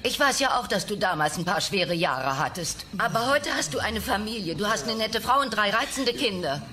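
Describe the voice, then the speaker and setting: A woman's voice: mid-range voice, lively intonation, normal speed, sharp tone, slight background noise. A woman voicing a restless, flirty dinosaur country singer with a theatrical, coaxing manner in a cartoon audio drama. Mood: insistent.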